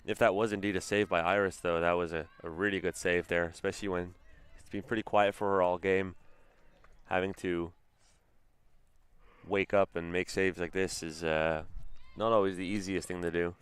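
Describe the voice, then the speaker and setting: A man calls a college soccer game in a low, deep register. His voice is smooth and resonant, and his manner is easygoing and chatty, with the odd self-correction mid-sentence. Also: level tone, moderate pace. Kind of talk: play-by-play commentary.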